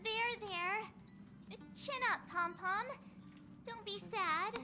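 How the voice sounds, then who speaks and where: Perky young adventurer girl; very high, playful and whiny. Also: slow pace. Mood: teasing. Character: cheerful bubbly young woman adventurer. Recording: game audio with music.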